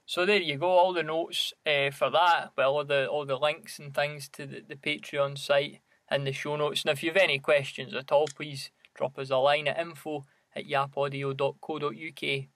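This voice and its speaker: A man's voice: a little high, flat delivery, moderate pace, few pauses, fairly bright tone, no echo. A man co-hosting a podcast in casual, conversational chat. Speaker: podcast co-host.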